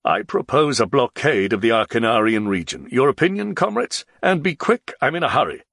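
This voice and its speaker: A man's voice: medium pitch, big pitch swings, fairly quick, vocal fry, fairly bright tone, no room sound, studio quality, heavily acted. A man narrating an audiobook, voicing a stern, curt, commanding senior nobleman who speaks sharply and emphatically. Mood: grave, brisk command.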